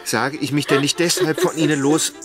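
Anxious, lovestruck gentleman played by an actor, earnestly pleading. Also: a little high, fairly quick, over loud music, close to an everyday voice. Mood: pleading, urgent. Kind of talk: German radio drama acting of a classic novel.

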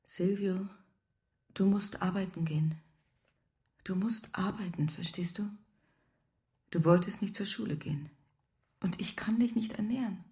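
An actress playing a careworn, insistent poor mother in a radio drama, with a breathy, half-whispered voice. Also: fairly low pitch, a little slow, long pauses, dark tone. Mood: pleading, insistent.

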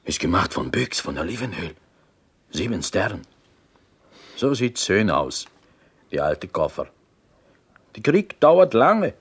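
Wistful greek guy in a radio drama, really raspy breathy voice, broken german, pitch swings around. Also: big pitch swings, heavily acted. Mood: wistful storytelling. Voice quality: husky, breathy. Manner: broken German with simple, halting phrases. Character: Greek man, a wistful storyteller in a prison cell. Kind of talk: radio play acting.

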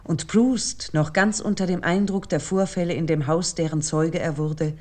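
A woman reading a scripted literary essay in a measured, solemn manner. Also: fairly low pitch, fairly even intonation, a little mellow. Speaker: narrator and literary commentator.

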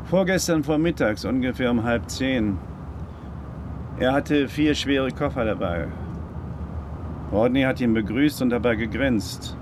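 Actor as a cautious, deliberate man in noisy surroundings. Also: medium pitch, natural voice. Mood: wary, recounting. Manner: deliberate, formally worded. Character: Floyd, male handyman drawn into crime, cautious, methodical. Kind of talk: German radio drama acting.

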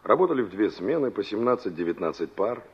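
A man's voice: on the low side, big pitch swings, quick pace, husky. A man acting a young engineer and former carpenter in a 1960s radio drama, conversational and reflective, searching for words as he reminisces. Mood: reminiscing. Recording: dull, band-limited old radio recording.

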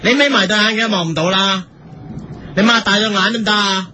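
Man playing a high-pitched, brash street reporter. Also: steady tone, fairly quick, sharp tone. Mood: brash, exasperated. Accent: Hong Kong. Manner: blunt, mocking. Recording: noisy street ambience behind.

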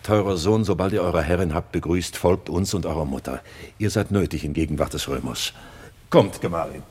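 A man's voice: sits low, fairly quick, darkish tone, breathy edge. An actor playing a stately, commanding king in a classic radio drama, solemn and formal.

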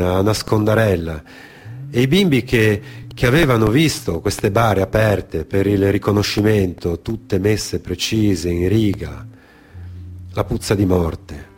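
Low-voiced man, dramatic monologue over music. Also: darkish tone. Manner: dramatic, deliberate, with repetitions and weighted pauses. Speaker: monologue performer. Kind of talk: theatrical narrative monologue for radio theatre. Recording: music behind the voice.

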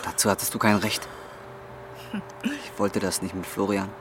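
A man's voice: on the low side, expressive, normal speed, fairly bright tone. An actor in his twenties playing a desperate, broke young man who swears in coarse slang, in naturalistic film drama style. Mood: subdued, confessing. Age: 20s. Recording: clearly noisy film location sound.

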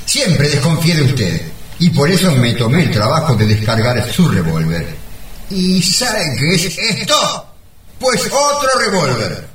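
A man playing an excitable, scheming gentleman adventurer in a comic radio play, melodramatic and exclamatory. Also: a little high, unhurried. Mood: smug. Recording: echoey, distant studio sound with faint noise.

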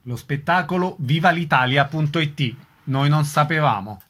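Male radio announcer, fairly high register, scripted listings read over light music. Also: normal speed, fairly bright tone.

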